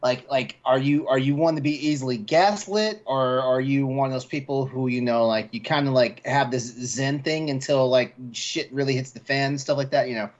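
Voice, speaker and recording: A man's voice: medium pitch, moderate pace, few pauses. A man co-hosting a podcast in casual chat, rambling through questions with lots of filler words. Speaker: podcast co-host. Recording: dull, muffled podcast audio.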